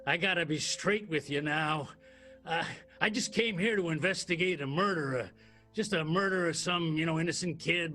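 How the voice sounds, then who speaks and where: Man playing a high-pitched, stammering 1920s detective. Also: bright, forceful tone. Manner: halting, stammering. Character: smitten male 1920s police detective, back in town. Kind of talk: improvised 1920s radio soap acting.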